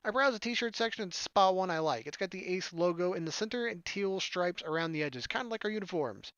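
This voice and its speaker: A man's voice: high-pitched, animated, a little fast, fairly bright tone, clean tone, no echo, dull audio. A man reading a visual novel aloud as a let's player, dropping joking, offhand asides over music. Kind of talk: gaming commentary mixed with reading narration aloud. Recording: music under the voice. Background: game soundtrack music.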